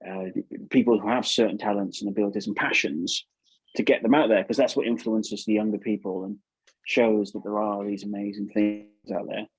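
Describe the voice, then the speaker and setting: A man's voice: on the low side, fairly even intonation, brisk, husky, no echo, low-quality recording. A man with an English accent talking casually and enthusiastically as an interview guest, rambling and often repeating words. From England. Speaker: puppeteer, podcast guest.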